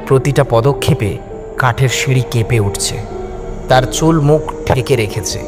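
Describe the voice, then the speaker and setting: A man tells a horror tale in the first person with dramatic suspense. His voice sits at a middle pitch. Music plays clearly behind him throughout. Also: normal speed. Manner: suspenseful, theatrical delivery. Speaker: audio story narrator. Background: background music.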